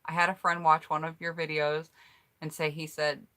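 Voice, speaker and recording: A woman's voice: low-pitched, little pitch movement, normal speed, sharp tone. An American woman chatting casually on a home mic, reading out viewers' answers in an upbeat, rambling way. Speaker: YouTuber hosting a collab tag.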